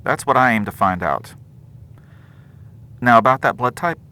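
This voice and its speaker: A brisk investigator in an audio drama. The voice is forceful and varied in pitch, pressing and suspicious, with a probing, official manner. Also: lively intonation. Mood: businesslike, probing. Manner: businesslike, probing.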